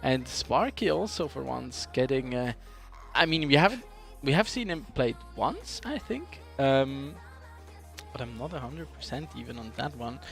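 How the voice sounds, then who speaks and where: A man's voice: mid-range voice, sing-song, measured pace, creaky, a bit bright. A man commentating an esports game in a casual, conversational way with frequent hesitations. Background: background music.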